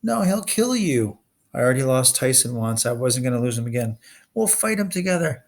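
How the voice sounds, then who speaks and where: A man's voice: medium pitch, very animated, fairly quick. A man playing a brave boy hero in a storybook, speaking earnestly and urgently. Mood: urgent, protective. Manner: earnest, urgent pleading and yelling.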